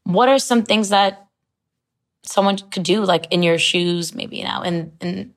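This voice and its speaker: A woman's voice: lowish voice, a little slow. Engaged woman interviewer asking follow-ups. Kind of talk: conversational interview.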